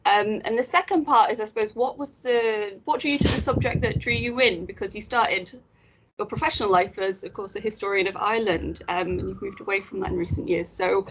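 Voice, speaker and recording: A young adult woman is interviewing a senior academic in a friendly conversation. She asks her questions tentatively, pausing often and hedging as she goes. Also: medium pitch, fairly even intonation, background noise. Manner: hesitant, hedging with fillers. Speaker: interviewer, early career researcher.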